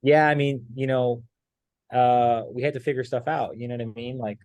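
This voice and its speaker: A man's voice: mid-range voice, moderate pace, no echo. A young man from Miami, a pool player guest, talking casually and rambling with plenty of fillers. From Miami, Florida. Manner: relaxed, rambling with many fillers. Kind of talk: casual interview chat.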